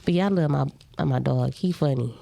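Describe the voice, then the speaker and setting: A woman's voice: very deep voice, fairly quick, vocal fry, warm tone, no echo. A woman telling stories in casual podcast chat, chatty and acting out what people said. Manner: chatty storyteller, acts out quotes. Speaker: podcast guest.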